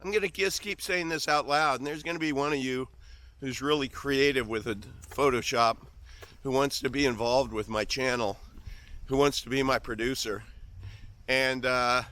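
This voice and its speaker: A man's voice: medium pitch, fairly even intonation, normal speed, pushed and bright. A man in his fifties with a Midwestern accent, joking through a rambling vlog monologue on a walk outdoors, often trailing off and restarting. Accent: Midwestern American. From American Midwest. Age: 50s. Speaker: vlogger.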